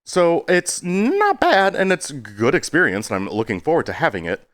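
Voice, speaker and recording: A man's voice: fairly high pitch, wildly swooping pitch, normal speed, fairly bright tone, no room sound, studio quality. A man giving a casual, rambling video update in a self-deprecating way, stopping and starting and slipping into exaggerated tones.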